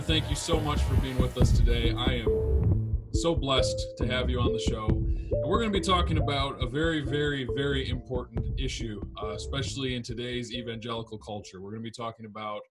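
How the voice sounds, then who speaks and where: A man's voice: mid-range voice, normal speed, over music, low-quality recording. Host: thirties man, friendly upbeat podcast chat, close mic in a home study. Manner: upbeat, friendly welcome, some fillers. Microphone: large podcast mic on stand.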